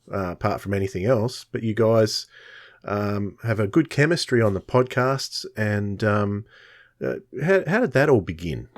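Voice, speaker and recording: A man co-hosting a podcast, asking questions casually with halting, hesitant phrasing. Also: on the low side. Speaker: podcast co-host, interviewer. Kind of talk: casual interview chat.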